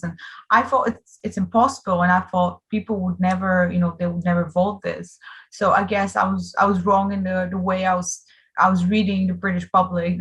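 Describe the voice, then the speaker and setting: Woman, late 20s. Slightly low register, earnest and emphatic interviewee speaking conversationally. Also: fairly bright tone, low-quality recording. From Bulgaria. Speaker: interviewee, political activist.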